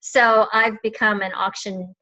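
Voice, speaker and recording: A woman's voice: medium pitch, flat delivery, unhurried, sharp tone, poor audio. A middle-aged woman chatting as a guest on a video call, enthusiastic and rambling with lots of fillers. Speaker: guest, online reseller. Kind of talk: casual video chat.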